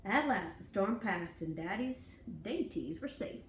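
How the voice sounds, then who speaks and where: Woman storybook reader, very animated pitch, unhurried, folksy dramatic emphasis, echoey room. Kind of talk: expressive children's story read-aloud. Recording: echoey room, distant mic, faint noise.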